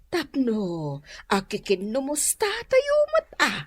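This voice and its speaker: A woman's voice: fairly high pitch, huge pitch swings, slow pace, exaggerated voice. A woman playing a sociable older sister in an Ilocano comedy radio drama, with an Ilocano accent. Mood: cheerful planning.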